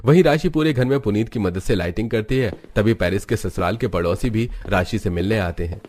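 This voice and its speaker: A man's voice: lowish voice, brisk, darkish tone, a little hiss or hum. A man narrating a scripted family cartoon story as its storyteller. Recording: music bed under the voice.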